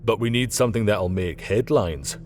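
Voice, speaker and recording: A man's voice: lowish voice, moderate pace, faint music behind, natural voice. A man voicing an eager, cheeky young man in an audiobook, casual and playful. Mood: thoughtful. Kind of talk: audiobook character voice.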